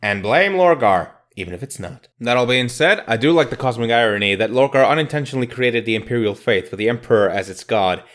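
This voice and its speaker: A man of medium pitch delivers casual commentary as a review host. He is irreverent and slangy, dropping jokes into his scripted rundown. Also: lively intonation, brisk, few pauses, a bit bright. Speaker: host of an audio drama review channel.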